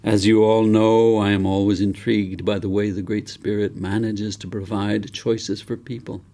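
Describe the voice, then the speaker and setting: A man narrating as a wise old grandfather from a storybook, his voice gravelly and fairly low. He speaks in a reflective, trusting, deliberate way to his fellow villagers. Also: little pitch movement, dark tone, natural voice.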